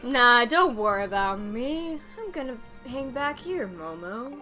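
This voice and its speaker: A woman's voice: a little high, very animated, measured pace, music in the background. Woman reading as a cocky, laid-back young guy. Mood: cool, nonchalant. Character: cocky, slangy young man playing it cool. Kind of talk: reading video game dialogue aloud.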